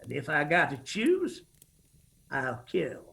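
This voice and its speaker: An actor playing a hard, menacing mountain uncle in a radio drama, with an Appalachian accent. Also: male voice, on the high side, wildly swooping pitch, takes it slowly, vocal fry, bright, forceful tone, heavily acted. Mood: goading.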